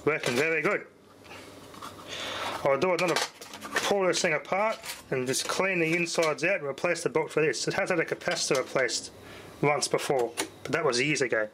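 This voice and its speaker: A man's voice: on the high side, normal speed, noisy background. A man giving a casual, rambling hands-on demonstration in informal, slightly slangy speech, as a vlogger would. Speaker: vlogger showing vintage hi-fi gear. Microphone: handheld camera, off screen. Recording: loud music playing behind the voice. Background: music from a cassette deck.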